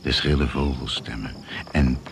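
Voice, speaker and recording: Male radio play narrator: extremely low, creaky, suspenseful and deliberate, noisy recording with animal sounds. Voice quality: gravelly.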